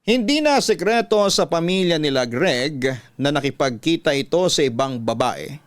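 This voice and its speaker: A man tells a heartfelt story in the style of a radio host reading a letter. His voice is clean, resonant and a little high, with solemn, sorrowful phrasing. The sound is close and very dry. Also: expressive, moderate pace, no echo. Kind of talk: dramatic narration of a letter in the first person.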